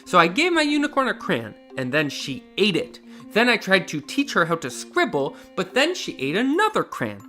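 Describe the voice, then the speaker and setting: A man reading as an excitable young child, earnest and dramatic, in a storybook. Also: sits high, moderate pace, hardly any pauses, soft background music, heavily acted. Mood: breathless confession. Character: excitable young child telling of a scribbling unicorn.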